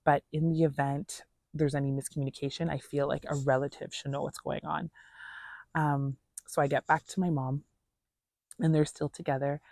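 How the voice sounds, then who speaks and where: A woman's voice: deep voice, level tone, normal speed, a little mellow, no echo. A woman telling a personal story in a casual, earnest, conversational way, voicing reported dialogue as she goes. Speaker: storyteller vlogger.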